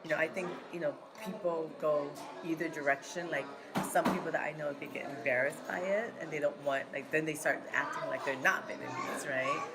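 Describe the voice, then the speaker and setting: A woman's voice: sits low, fairly quick, few pauses. A woman speaking frankly in a casual way, with many uses of "like". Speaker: interviewee. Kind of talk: casual personal account. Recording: loud background noise.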